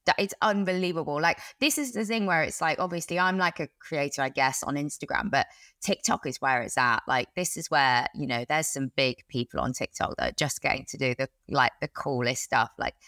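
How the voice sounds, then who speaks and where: Brief: British woman co-host, lowish voice, bright and forceful, chatty. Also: fairly quick, dry recording. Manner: chatty, animated. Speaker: podcast co-host. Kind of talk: casual chat.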